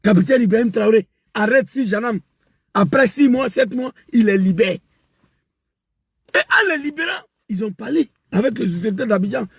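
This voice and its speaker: A man delivering an impassioned political rant, emphatic and repetitive, rising to shouts. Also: high-pitched, animated, normal speed, vocal fry, breathy edge, dry recording, clean studio sound. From West Africa. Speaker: political commentator.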